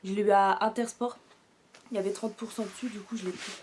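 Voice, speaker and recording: A woman's voice: medium pitch, fairly even intonation, fast talker, background noise. A young woman doing a casual shopping haul, out of breath and congested from a sore throat, rambling with lots of fillers. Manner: chatty, rambling, with many fillers and self-corrections. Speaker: haul vlogger.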